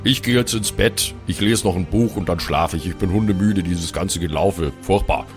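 A man plays a reluctant fantasy adventurer, a grown son worn down by nagging. The voice is low and grumbly, weary and resigned. Soft music runs behind it. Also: normal speed, close to an everyday voice. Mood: weary grumbling.

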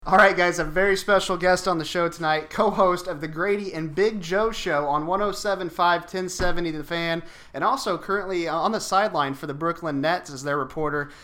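Bright, higher-pitched American male host. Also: fairly quick, few pauses. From Indianapolis, United States. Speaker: podcast host. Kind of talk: casual sports podcast chat.

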